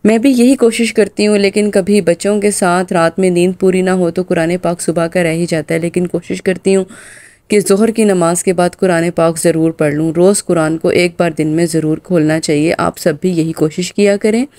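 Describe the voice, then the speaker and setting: A hoarse woman from Pakistan in her thirties, talking through her morning as a mom vlogger. Her voice sits low and mellow, and she speaks in a loose, chatty way padded with filler phrases. The recording is close and dry. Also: fairly even intonation, normal speed, no room sound. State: hoarse from a sore throat. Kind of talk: casual voice-over narration of a daily vlog.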